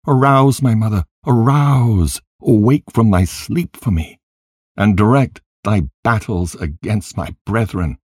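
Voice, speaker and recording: Man acting out an anguished young son, pitched up, pleading. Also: unhurried, a little mellow, breathy edge, no room sound, studio quality. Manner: crying out, imploring, mournful. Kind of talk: scripture storytelling, dramatic reading.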